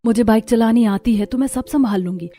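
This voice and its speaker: A woman's voice: a little high, brisk, warm tone, close to an everyday voice. A woman voicing a caring young wife who sells home food in a cartoon story. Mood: earnest.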